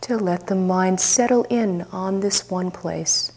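A woman teacher leading a guided meditation, speaking softly and unhurriedly with long pauses. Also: medium pitch.

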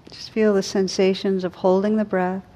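A woman meditation teacher leading a guided meditation in a gentle, unhurried, soothing way with long pauses. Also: mid-range voice, flat delivery, highs cut off.

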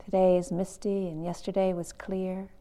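A woman leading a guided meditation as a teacher, calm and unhurried, with long pauses between short phrases. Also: fairly low pitch.

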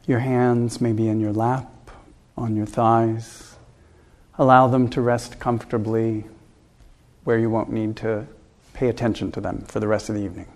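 A man leading a guided meditation as a teacher, giving calm, gentle instructions with unhurried pauses. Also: on the low side.

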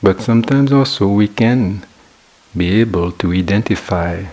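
A man guiding a meditation as a teacher, speaking in short, measured phrases with pauses between them. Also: lowish voice, a little mellow. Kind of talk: guided meditation talk.

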